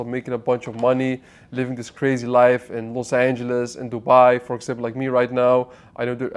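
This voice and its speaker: A man's voice: mid-range voice, darkish tone. Brief: man, twenties, raised in the Netherlands, talks to camera in a casual motivational monologue, blunt, curses, restarts phrases. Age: 20s. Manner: blunt, swearing, restarts mid-sentence, addresses viewer directly. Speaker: online business vlogger. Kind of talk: unscripted motivational talk to camera. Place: apartment.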